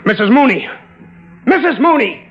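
A man's voice: extremely high pitch, very animated, normal speed, husky, exaggerated voice. An actor playing a distraught widower who doubts his own sanity, in the urgent, melodramatic style of a mid-century radio play. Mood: indignant, accusing. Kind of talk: 1940s-50s radio drama acting. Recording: dull old radio recording, loud music behind.

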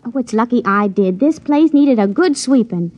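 A woman's voice: on the high side, animated, moderate pace, no room sound. An actress playing an artless, stubborn backwoods girl in her late teens, with a folksy rural American accent, in old radio comedy style. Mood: cheerful, eager. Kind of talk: 1940s-50s radio comedy acting. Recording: dull, dry old radio recording.

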